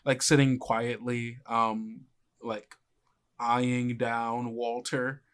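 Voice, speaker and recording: A game master improvising narration for a tabletop game in a measured way with pauses. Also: medium pitch, level tone, clear, resonant tone. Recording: guitar music under the voice.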